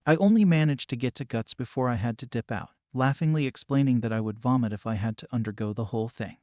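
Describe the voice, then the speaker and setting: Male narrator, middle pitch, calm and measured, dry close sound. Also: no room sound. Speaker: story narrator. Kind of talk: scripted narration.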